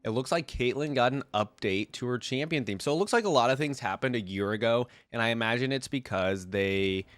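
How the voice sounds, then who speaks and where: A man's voice: mid-range voice, fairly quick, few pauses, dry recording. A man congested from a cold, giving casual, informal reaction commentary as a video host, thinking aloud as he goes. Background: loud music from the videos watched.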